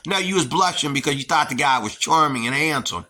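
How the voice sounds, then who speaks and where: American guy ranting to camera like a preacher, blunt and scolding, real forceful edgy voice. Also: a little high, lively intonation, a little fast. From United States. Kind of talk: heated opinion monologue.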